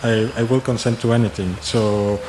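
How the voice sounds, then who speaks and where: A man's voice: mid-range voice, steady tone, moderate pace, darkish tone. A man in the audience asking long, rambling questions at a talk, with many fillers. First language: not German. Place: conference room. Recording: echoey hall, faint noise.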